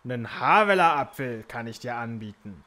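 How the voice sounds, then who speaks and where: Man playing a snide, sharp-voiced knight henchman. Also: mid-range voice, lively intonation, moderate pace. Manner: snide, prim, scolding. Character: officious, snide male knight, henchman to an overlord. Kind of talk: comic audio play acting.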